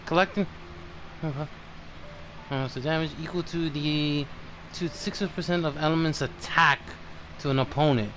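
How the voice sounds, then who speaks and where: A man streaming a game, giving casual live commentary in a halting, muttering way as he thinks aloud. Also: a little high, steady tone, fairly bright tone, slight background noise, low-quality recording. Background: game music.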